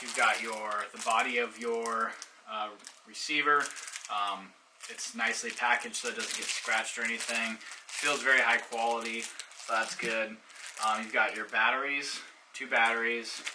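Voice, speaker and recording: A man's voice: lowish voice, sharp tone. A man giving a casual unboxing review and hands-on demonstration, explaining things informally step by step. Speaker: product reviewer. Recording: distant mic, faint background noise.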